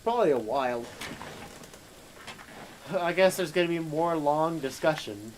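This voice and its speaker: A man's voice: a little high, animated, measured pace, a little hiss or hum, low-quality recording. A man livestreaming a game with rambling, joking, casual commentary. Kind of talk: casual live gaming commentary. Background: game audio.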